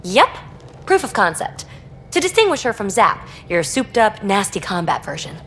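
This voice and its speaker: A woman playing an excitable, informal, geeky young scientist in a video game. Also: mid-range voice, normal speed, a little hiss or hum. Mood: fond, playful. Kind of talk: video game dialogue acting.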